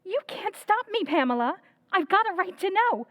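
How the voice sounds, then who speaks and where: A confident young sister standing her ground in an old-time radio play. Her voice is very high and lively, with an indignant edge. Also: normal speed, exaggerated voice. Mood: defiant. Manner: indignant, defiant. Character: confident middle sister, young woman, defiant. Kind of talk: 1940s radio acting.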